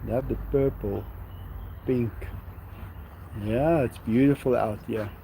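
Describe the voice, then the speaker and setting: A man's voice: medium pitch, vocal fry, dark tone, a little hiss or hum, low-quality recording. A man with a South African Afrikaans accent, a native Afrikaans speaker, chatting casually on a live stream outdoors, musing as he answers viewers. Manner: musing, unhurried, reads viewer questions aloud and chuckles. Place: outdoors at sunset.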